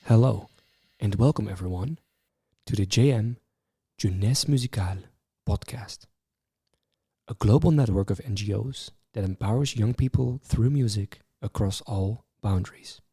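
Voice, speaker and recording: A man hosting a podcast, reading a measured prepared introduction and asking interview questions. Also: lowish voice, warm tone, breathy edge. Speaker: podcast host.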